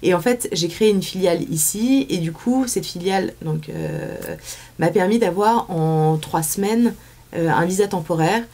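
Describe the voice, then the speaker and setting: Chatty French woman, lowish voice. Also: normal speed, mellow. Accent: French from France. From France. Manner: chatty, many fillers and restarts. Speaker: guest. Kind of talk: casual interview chat. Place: small studio set, indoors.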